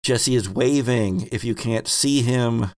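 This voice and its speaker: Guy running a tabletop game, takes his time, creaky voice, chatty and playful. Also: mid-range voice, takes it slowly. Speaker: host and game master. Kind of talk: casual tabletop game hosting.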